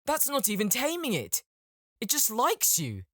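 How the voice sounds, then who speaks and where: A man's voice: very high voice, huge pitch swings, measured pace, a bit bright, no echo, clean studio sound, full character voice. A man playing a showy young male game mage with a theatrical, announcing manner in an audiobook. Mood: exclaiming.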